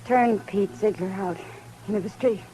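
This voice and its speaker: An actress playing a distressed young widow pleading urgently in a 1950s radio drama. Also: female voice, mid-range voice, moderate pace, warm tone, a little hiss or hum, close to an everyday voice, poor audio.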